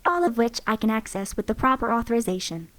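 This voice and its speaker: A woman's voice: fairly high pitch, sing-song, quick pace, a bit bright, no room sound, faint music behind. A woman voicing a precise weapons-platform companion. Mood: factual. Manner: precise, matter-of-fact recital of data. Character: female combat companion, a walking weapons platform. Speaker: voiced companion character in a game mod.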